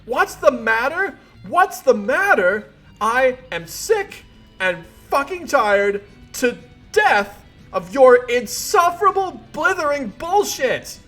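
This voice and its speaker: An exasperated young woman as a man acts her out scolds in a high, forceful voice. She is biting and emphatic, raised to the edge of a shout, with music behind. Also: very animated, slow, heavily acted. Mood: screaming fury.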